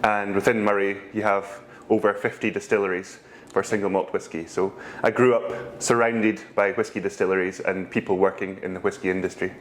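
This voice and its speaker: A Scottish man with a fairly low voice tells his story at an easy pace, pausing often with ums. A faint hum of background ambience sits under the recording. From Scotland. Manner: relaxed storytelling with frequent ums and pauses. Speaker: guest, whisky brand ambassador. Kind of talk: casual interview answers.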